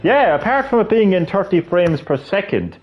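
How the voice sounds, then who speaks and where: A man's voice: high voice, sing-song, moderate pace, muffled recording, faint music behind. A man, chatting casually while he plays a fighting game, rambling with lots of fillers and restarts. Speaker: gaming streamer. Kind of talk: casual gameplay commentary.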